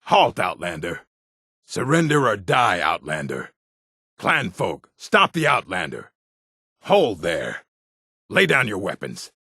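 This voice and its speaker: An actor voicing a stern, proud orc stronghold warrior in a video game, gravelly and husky, blunt and commanding. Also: male voice, deep voice, huge pitch swings, slow pace, pauses often, bright, forceful tone, no room sound, clean studio sound, heavily acted. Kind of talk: video game character voice acting.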